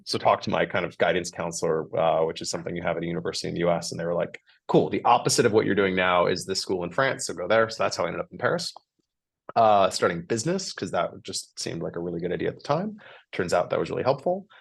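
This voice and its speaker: A man in his forties with an American accent, a guest in a casual podcast interview, chatty and relaxed with many fillers. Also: sits low, fast talker, creaky, breathy edge. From United States.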